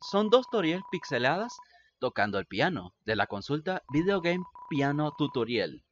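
A woman reading as the deadpan, explanatory narrator of a quirky video game fan dub. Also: deep voice, moderate pace, dull audio, slight background noise.

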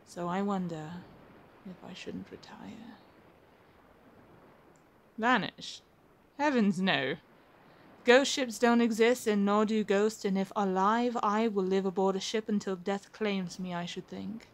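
A woman's voice: medium pitch, slow, pauses often. A woman playing an assertive, wry captive pirate admiral in an ASMR roleplay, with a formal, theatrical, teasing delivery. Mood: cool, bargaining, then defiant, then proud, composed.

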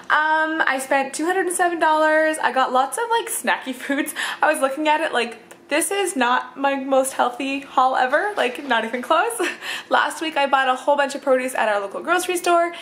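A woman's voice: high voice, normal speed, a bit bright. A woman in her thirties chatting casually through a grocery haul at home, laughing often and filling with um and like. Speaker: vlogger, mother of five. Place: home kitchen and living room. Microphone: handheld camera at arm's length.

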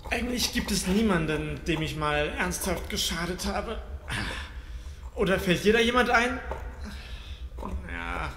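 An actor playing an anxious, sarcastic, halting man trapped in a cellar, in a horror audio drama. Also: high-pitched, creaky, pushed and bright, exaggerated voice. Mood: wary, thoughtful. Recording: echoey hall reverb, faint background noise.

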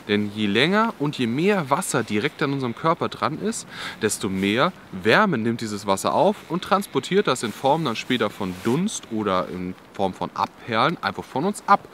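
A man's voice: medium pitch, normal speed, slight background noise. A young man explaining outdoor clothing layers to the camera in a drizzly forest, in a casual, explanatory way. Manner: explanatory, lively, casual with filler "ja". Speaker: outdoor channel host.

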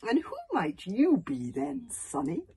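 A woman voicing a charming, flattering man with flowery courtesy in a children's storybook. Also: high voice, slow, creaky, slight background noise, pitched above the performer's own voice, full character voice. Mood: suave. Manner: flowery, fawning.

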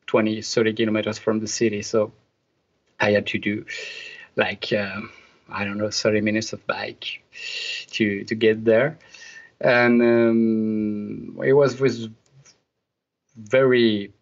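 Hesitant French-accented man on lo-fi audio. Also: lowish voice. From France. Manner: hesitant, many ums and uhs, playful. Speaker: guest. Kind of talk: casual interview.

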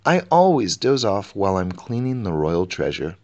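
A man's voice: low voice, big pitch swings, normal speed, darkish tone, lo-fi sound. A man voicing a helpful, eager monkey who keeps the royal treasure in a children's storybook. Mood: helpful, correcting.

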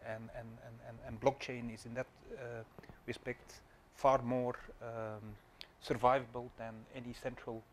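A male panelist explains technology in a flat, even voice, often repeating words as he goes. A faint hum runs behind him. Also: mid-range voice, a little slow. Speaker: conference panelist.

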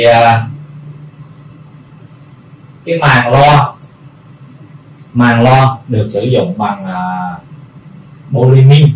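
Man demonstrating products in a roomy, distant recording. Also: medium pitch. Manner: explanatory, repetitive, halting with word searching. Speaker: product presenter. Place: indoor room full of audio equipment. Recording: roomy, distant mic, faint background noise.

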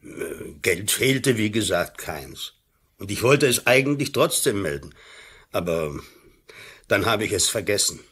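A man's voice: on the low side, lively intonation, unhurried, a bit bright, breathy edge, heavily acted. A man playing a worried old doctor, earnest and hesitant, in a radio drama. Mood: flustered. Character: worried old male doctor, loyal, flustered school friend. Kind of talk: German-language radio drama acting.

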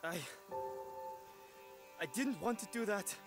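A man playing a wary, lost young adventurer in a video game, speaking in halting short phrases. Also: sits high. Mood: shaken. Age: young adult. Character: young male adventurer, wary, lost, talking to his troll. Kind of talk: video game cutscene acting. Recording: loud music behind.

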